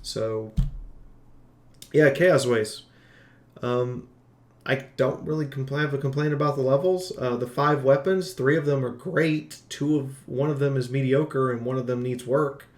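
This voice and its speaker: A man's voice: mid-range voice, measured pace, a little mellow. A man giving an unscripted game review in an informal, emphatic way, as a channel host talking to viewers. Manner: informal, emphatic, occasional swearing. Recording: roomy, echoing room sound.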